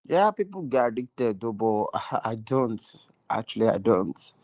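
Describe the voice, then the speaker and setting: Lively Nigerian man on a casual call. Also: on the low side, a little slow, no echo, studio quality. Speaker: caller. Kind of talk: casual phone chat.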